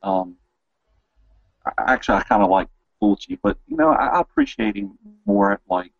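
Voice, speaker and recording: Man, interview host, hugely animated intonation, raspy voice, casual enthusiastic chat, very dry lo-fi sound. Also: lowish voice, normal speed, no echo.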